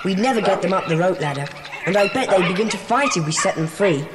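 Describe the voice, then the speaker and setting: A woman playing a brisk, decisive schoolboy who leads a secret club in a dramatised children's audiobook. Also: lowish voice, lively intonation, heavy background noise, close to an everyday voice. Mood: cautious, excited. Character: bossy, sensible schoolboy leader of a secret club.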